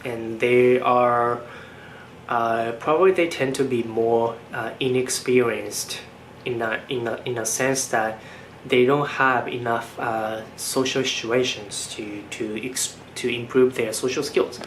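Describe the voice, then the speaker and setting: A man's voice: medium pitch, fairly even intonation, slow pace. A young man from Japan with a Japanese accent, talking casually to camera as a vlogger, full of fillers and restarts. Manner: hesitant, many fillers and restarts. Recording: echoey room, faint background noise.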